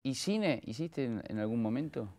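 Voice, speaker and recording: A man's voice: medium pitch, expressive, normal speed, a little mellow. A man with a Rioplatense Argentine accent interviewing conversationally, with hesitant, searching phrasing and repeated words.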